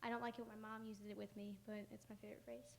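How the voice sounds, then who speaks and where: A woman's voice: mid-range voice, flat delivery, rapid-fire. A woman on a patient panel, speaking informally and chattily as she answers audience questions. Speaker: young panelist at a patient support symposium. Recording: noisy room, shifting mic distance.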